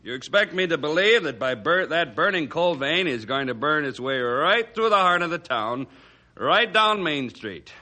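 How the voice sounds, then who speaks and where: A man's voice: a little high, lively intonation, moderate pace, bright, forceful tone. A man playing a stubborn, scornful small-town mayor in a radio drama, curt and bossy.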